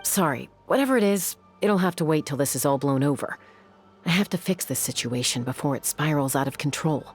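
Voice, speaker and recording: Cool young warrior woman, low voice, blunt, anime game with music behind. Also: expressive, a little fast. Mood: determined. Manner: blunt, determined. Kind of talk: anime game dub acting. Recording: music under the voice.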